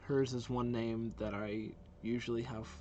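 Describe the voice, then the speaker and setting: A man's voice: medium pitch, flat delivery, measured pace, mellow, slight background noise. A man hosting a casual reaction vlog, talking informally and enthusiastically, with some hesitation. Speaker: video host reacting to game trailers.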